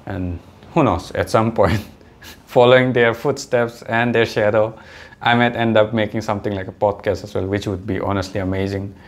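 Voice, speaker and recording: A man's voice: on the low side, fairly even intonation, normal speed. A young man who speaks English as a third or fourth language, with an accent that mixes British, American and Indian English, talking hesitantly to the camera and often stopping to correct himself. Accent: mixed British, American and Indian-influenced English. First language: not English. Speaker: vlogger. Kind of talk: casual talk to camera.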